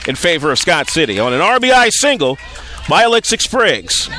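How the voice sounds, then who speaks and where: A man calling live softball play-by-play as a radio-style sports announcer, outdoors at a ballfield. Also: on the high side, big pitch swings, normal speed, slight background noise.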